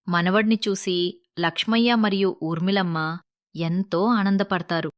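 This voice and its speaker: A woman's voice: medium pitch, no room sound, studio quality. A woman narrating a scripted moral story as the storyteller.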